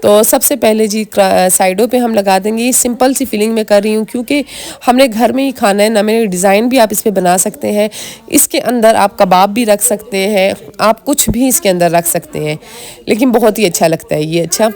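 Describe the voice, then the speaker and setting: A woman in her thirties from Pakistan with a hoarse throat, chatting straight to her viewers in a casual vlog. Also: mid-range voice, moderate pace, a little mellow. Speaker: vlogger.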